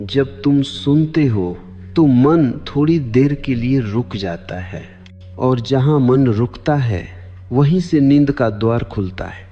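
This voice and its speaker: A man giving a meditative spiritual discourse for sleep, deliberate, in short measured phrases that often repeat. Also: medium pitch, lively intonation. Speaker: spiritual teacher. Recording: long hall reverb, dull lo-fi sound. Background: clear background music.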